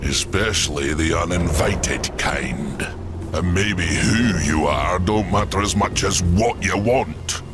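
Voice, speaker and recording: A drawling rustic giant. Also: male voice, low-pitched, slow pace, over loud music. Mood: wary. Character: gruff ancient giant smith, folksy, proud brawler. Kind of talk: video game cutscene acting. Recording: game audio with loud score.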